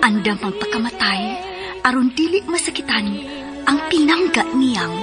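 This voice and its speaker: A woman's voice: high voice, animated, moderate pace. A woman announcing a radio drama from a script, theatrical and emphatic. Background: clear music.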